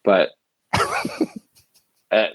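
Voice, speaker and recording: A man's voice: drawn-out words, no room sound, poor audio. A man in his forties who grew up abroad, telling long stories as an interview guest, rambling with many fillers and restarts. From grew up in Indonesia. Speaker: guest musician. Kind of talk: casual interview storytelling.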